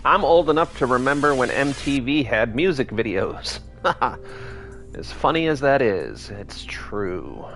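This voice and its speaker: A man's voice: mid-range voice, sing-song, unhurried, fairly bright tone, soft background music. A man in his thirties giving casual, rambling commentary while playing a space game, thinking aloud as he builds. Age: 30s. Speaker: game streamer.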